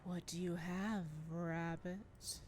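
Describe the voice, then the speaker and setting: Woman voicing a slow, hushed, menacing shadow creature. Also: fairly low pitch, steady tone, a little hiss or hum, pitched below the performer's own voice. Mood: taunting, hungry. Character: inhuman shadow creature, hungry, possessive, seductively menacing.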